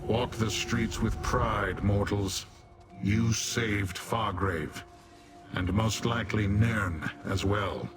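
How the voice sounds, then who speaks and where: An actor playing an honorable warrior in a fantasy video game, speaking in a formal, measured way. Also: male voice, fairly low pitch. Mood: proud, formal. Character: honorable male warrior ally, formal, steady and reassuring. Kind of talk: video game character acting. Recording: music behind.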